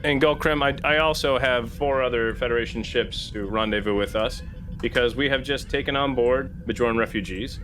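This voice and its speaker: A man playing a diplomatic male starship captain in a sci-fi tabletop game, speaking formally and haltingly. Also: medium pitch, a bit bright, soft background music, natural voice. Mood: diplomatic stalling.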